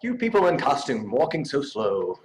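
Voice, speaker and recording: A man's voice: medium pitch, big pitch swings, moderate pace. A man making a joking stage announcement as an award presenter.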